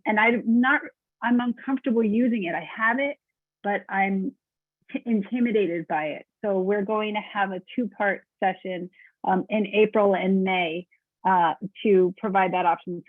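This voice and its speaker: A woman's voice: medium pitch, no room sound. A middle-aged woman moderating a community webinar, friendly and organized, talking casually with fillers. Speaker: program host for a neighborhood village. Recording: tinny phone-line audio, dry.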